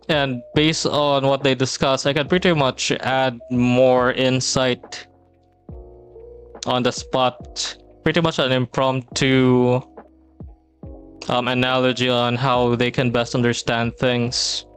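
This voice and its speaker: A young man from the Philippines chatting casually on a drawing stream, relaxed and rambling with many pauses and fillers. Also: medium pitch. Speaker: streamer and teacher. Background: soft background music.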